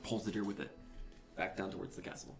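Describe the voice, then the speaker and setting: A man running a tabletop game as game master, narrating in an animated, quick manner with casual asides. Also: low voice, over music.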